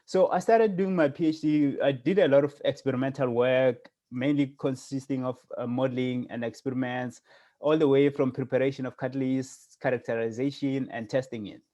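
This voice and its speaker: A middle-aged man from South Africa lecturing over a video call at an average pitch. He talks hesitantly, filling pauses with "uh" and restarting his sentences as he explains the material. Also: fairly even intonation, warm tone. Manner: hesitant, many fillers and restarts. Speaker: guest lecturer. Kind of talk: academic seminar talk over video call.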